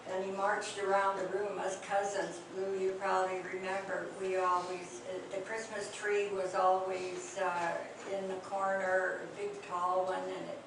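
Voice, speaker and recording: An older woman telling family memories to a small group in a hesitant, reminiscing way, with many pauses and fillers. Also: medium pitch, flat delivery, creaky. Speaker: speaker recalling family memories. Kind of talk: reminiscing oral history talk. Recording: echoey room, distant mic, noisy.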